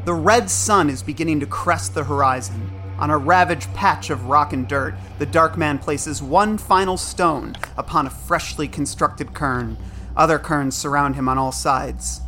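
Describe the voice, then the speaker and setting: A woman's voice: low-pitched, a little slow, fairly bright tone. A woman narrating an audio drama, setting scenes in terse, scripted phrases. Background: music.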